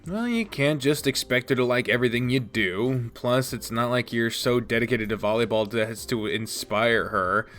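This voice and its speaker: A man reading a visual novel game aloud, casual, jumping to high squeaks when surprised. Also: normal speed, few pauses, fairly bright tone, soft background music. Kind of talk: reading game text aloud with jokes aside.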